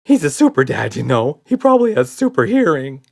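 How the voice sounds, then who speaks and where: A man's voice: a little high, big pitch swings, a little fast, gravelly, pitched above the performer's own voice, exaggerated voice. A man voicing a small, terrified monster in a children's audiobook, gasping and wailing in panic. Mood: hushed alarm. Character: small, terrified, frantic monster with googly eyes.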